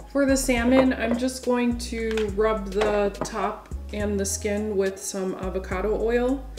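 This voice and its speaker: Woman chatting casually while cooking at home, easygoing, music playing under her. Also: medium pitch. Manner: relaxed, thinking aloud with fillers. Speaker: cooking vlogger. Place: home kitchen. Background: background music.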